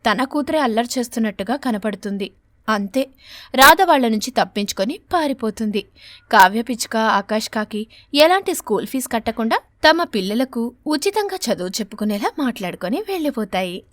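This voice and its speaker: High-voiced woman narrating a children's fable. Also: animated, a little fast, fairly bright tone, no echo. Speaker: storyteller.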